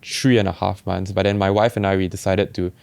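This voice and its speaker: A young man with a Singaporean accent, telling his story in a relaxed, reflective way with many restarts. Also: low voice, a little fast, creaky, no room sound. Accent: Singaporean English. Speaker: barber guest. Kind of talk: casual podcast chat.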